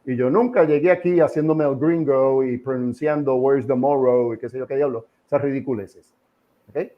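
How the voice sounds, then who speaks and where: A muffled, lo-fi recording of a mature Puerto Rican man giving his opinions in an interview. He lectures informally and emphatically, repeating key phrases and posing rhetorical questions in a medium-pitched voice. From Puerto Rico. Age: middle-aged or older. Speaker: interview guest, association president.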